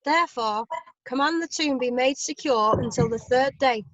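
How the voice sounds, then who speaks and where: A woman's voice: high voice, moderate pace, fairly bright tone. A woman playing a mocking, scheming chief priest in a dramatised scripture reading. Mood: formal, urging. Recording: slightly muffled, dry recording.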